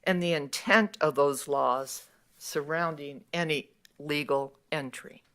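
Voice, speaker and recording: Low-voiced woman who speaks slowly and earnestly; measured public comment at a council podium. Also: fairly bright tone. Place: city council chamber.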